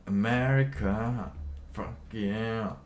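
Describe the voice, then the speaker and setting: A man giving casual livestream commentary and reading documents aloud, halting and rambling, often pausing mid-sentence. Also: lowish voice, a little hiss or hum. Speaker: livestream host.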